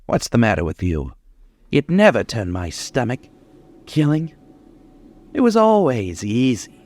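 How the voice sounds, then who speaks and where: A man's voice: medium pitch, very animated, darkish tone. A man playing a boastful, menacing young killer in an old-time radio crime drama, dwelling on his words. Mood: gloating. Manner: menacing, gloating, dwelling slowly on details. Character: boastful, unhinged young male killer holding police at gunpoint.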